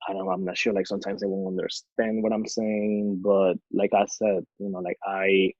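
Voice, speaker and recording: Low-voiced young man from Ecuador, easygoing. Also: little pitch movement, normal speed, a little mellow, no room sound, muffled recording. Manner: easygoing, many fillers. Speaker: podcast co-host. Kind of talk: casual podcast chat.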